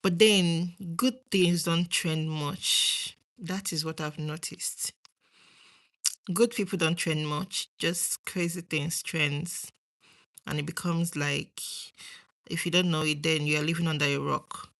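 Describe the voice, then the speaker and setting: Nigerian woman, low bright voice. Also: steady tone, takes it slowly. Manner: rambling, chats with viewers, trails off. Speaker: livestream host. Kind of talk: casual livestream chat.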